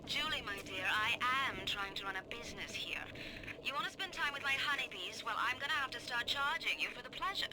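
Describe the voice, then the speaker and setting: Woman acting a tart, high-voiced beekeeper, noisy recording. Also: expressive, brisk, lightly acted. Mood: exasperated scolding. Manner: tart, exasperated. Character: crusty, no-nonsense woman beekeeper, scolding, sarcastic. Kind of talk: audio diary message in a video game. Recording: noisy old radio-like audio diary.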